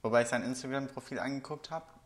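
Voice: medium pitch, fast talker. A podcast co-host from southwestern Germany, chatting casually and jokingly, often laughing. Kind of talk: casual chat about a reality show.

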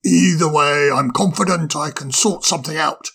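A reader doing a gravelly old owl professor. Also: mid-range voice, normal speed, fairly bright tone. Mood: genial. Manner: chuckling, hesitant, fond of "my dear boy". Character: kindly pompous old male owl professor. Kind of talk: storybook reading.

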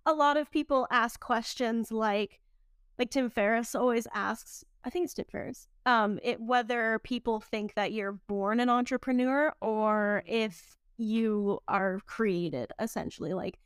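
A woman chatting casually on a podcast, reflective and informal, thinking out loud. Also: on the high side, measured pace, smooth, no echo, clean studio sound. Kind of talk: casual conversational podcast chat.

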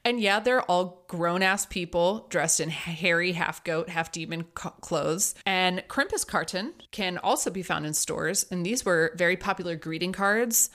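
A woman's voice: on the low side, a little slow, few pauses, a bit bright. A woman co-hosting a podcast, casually presenting researched facts with a playful, joking manner. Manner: playful, jokey, laughs often. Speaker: podcast co-host.

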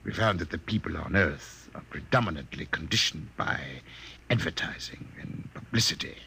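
Smooth sneering martian villain, gravelly and super bright, old radio play. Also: male voice, a bass voice, moderate pace, heavily acted. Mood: menacing. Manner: smooth, mocking, gloating villain. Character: suave sinister male Martian invader disguised as an Earthman. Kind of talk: 1950s radio drama acting.

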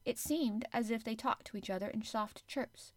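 A woman's voice: fairly high pitch, normal speed, dry recording, natural voice. An earnest young girl from a storybook, performed by a woman reader. She is frank and a little obstinate, full of enthusiasm, heard with very little room sound. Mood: earnest, enthusiastic. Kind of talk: audiobook character acting.

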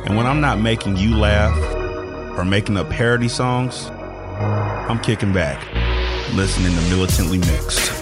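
Laid-back deep-voiced man over loud music. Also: a little slow, pauses often. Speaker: comedy podcast host doing a promo.

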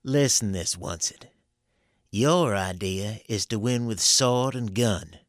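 A man's voice: fairly low pitch, slow pace, no room sound, studio quality. A man voicing a scheming backwoods conjurer with a wheedling rustic drawl, read as a story. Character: scheming backwoods male conjurer, sly and coaxing. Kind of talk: audiobook character voice.

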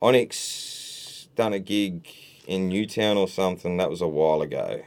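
A man guesting on a podcast, swearing freely and acting out both sides of a quarrel in a casual, animated chat. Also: low-pitched, moderate pace, clear, resonant tone. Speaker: podcast guest, battle rapper. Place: podcast studio.

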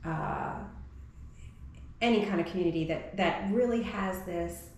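A woman's voice: lowish voice, measured pace, a little mellow. A woman co-hosting a podcast, talking in a thoughtful, musing way and often asking rhetorical questions. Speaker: podcast co-host. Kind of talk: reflective conversational chat. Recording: very echoey room with faint noise.

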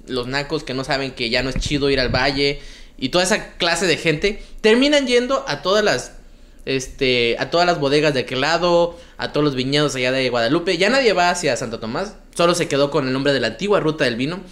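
Man with a Baja California accent, high lively voice, joking and slangy. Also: a little fast. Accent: northern Mexican (Baja California). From Ensenada, Baja California. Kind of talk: casual chat among friends.

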